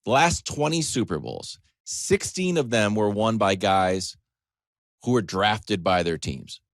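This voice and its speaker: A man's voice: on the low side, expressive, slow pace, no room sound, clean studio sound. A man hosting a sports talk show, delivering a comedic, conversational monologue with emphatic lists, joking asides and acted-out questions.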